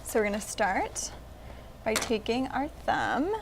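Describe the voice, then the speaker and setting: A woman's voice: fairly high pitch, big pitch swings, measured pace, noisy background. A woman leading a children's library craft, explaining each step simply and in a friendly way.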